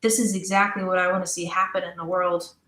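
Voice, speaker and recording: A woman's voice: lowish voice, level tone, fast talker, fairly bright tone. A young woman chatting casually and emphatically as a guest in a podcast interview. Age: young adult. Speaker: interview guest, audio drama creator. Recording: roomy, echoey voice.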